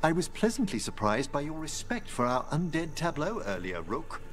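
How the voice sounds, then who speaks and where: A middle-aged, scholarly necromancer in a video game. His voice sits fairly high and moves expressively, formal and courteous in every phrase. Music plays clearly behind him. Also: big pitch swings, moderate pace. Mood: warmly erudite.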